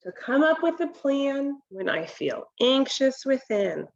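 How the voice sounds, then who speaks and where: A woman in her thirties reading a picture book aloud to children, deliberate and instructional, pausing to explain and ask questions. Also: high voice, very animated, creaky, highs cut off. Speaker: read-aloud presenter for children. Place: home kitchen, indoors.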